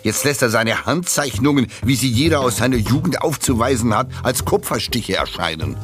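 An actor playing a scornful writer of an earlier century, reciting literary insults in a dramatic reading. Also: male voice, mid-range voice, lively intonation, normal speed, a bit bright, soft background music, natural voice.

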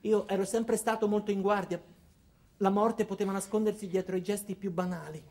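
An actor playing an anxious, paranoid ordinary man, telling his story in a wry, deadpan theatrical monologue. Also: sits high, fairly quick. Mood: wry, resigned. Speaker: actor performing a comic monologue. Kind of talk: theatrical first-person comic storytelling.

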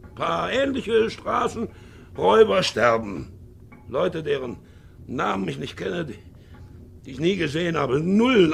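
A hard old ship captain, gravelly, mutters darkly then barks, big swings in pitch, old radio play. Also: male voice, exaggerated voice. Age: older. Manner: brooding, swinging from muttering to harsh barking. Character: hard, brooding ageing ship's captain, self-justifying and haunted. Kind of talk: 1950s German radio drama acting. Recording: 1950s radio recording, faint music.

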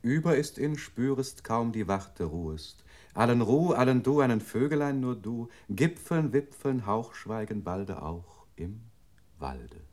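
A man's voice: mid-range voice, lively intonation, a little slow, darkish tone, natural voice. Man as a precise, neutral computer unit. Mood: neutral, mechanical. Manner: precise, itemised recitation of words and sounds. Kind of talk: 1960s German experimental radio drama.

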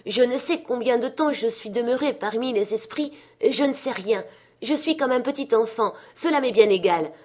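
A woman's voice: a little high, normal speed, darkish tone, voice pushed up, close to an everyday voice. A woman voicing a giddy, reborn old miser in an exclamatory, breathlessly exuberant storybook reading. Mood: excited wonder.

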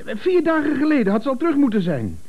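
A fretful, put-upon office worker in a radio comedy. His voice sits very high and swings up and down as he grumbles with nervous sarcasm. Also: huge pitch swings, fast, highs cut off, exaggerated voice. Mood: fretful, dry.

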